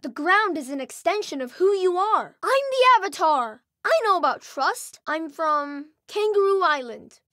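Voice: very high voice, lively intonation, unhurried, hardly any pauses, sharp tone, no echo, clean studio sound, heavily acted. An actor playing a cheerful, earnest young boy airbender hero, exuberant and playful, in video game voice clips. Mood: calm, earnest.

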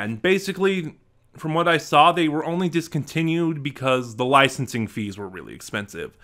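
A man's voice: medium pitch, sharp tone. A young man chatting enthusiastically in a casual home unboxing vlog, gesturing as he talks, recorded on a desk mic in a small room. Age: young adult. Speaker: vlogger. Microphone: desk mic in front of webcam.

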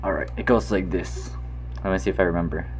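A man's voice: deep voice, fairly even intonation, fast. A man whose first language is Filipino, hosting a playful, bossy chat in an online virtual room. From half Filipino, half American. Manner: playful, bossy, laughs often. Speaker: host. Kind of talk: casual chat in a virtual world. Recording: background music.

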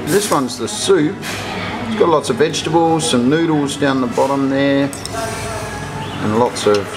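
A man's voice: medium pitch, expressive, slow pace, over music, poor audio. A man in his forties giving casual travel vlog commentary in short descriptive remarks, recorded on a handheld camera. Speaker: food vlogger. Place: busy street and open-front eatery in Thailand. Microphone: handheld camera at arm's length. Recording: loud street and kitchen noise.

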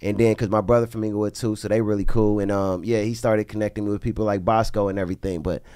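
A man's voice: fairly low pitch, level tone, quick pace, warm tone, smooth, no room sound. Male podcast host with a dry, close sound. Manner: colloquial street slang. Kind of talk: casual conversational interview. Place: small soundproofed podcast studio. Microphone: close studio podcast microphone.

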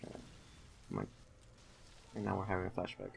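Low, creaky young man commentating on games. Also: very animated, moderate pace. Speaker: gamer hosting a let's play. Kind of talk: casual gameplay commentary. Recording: game audio behind, faint noise.

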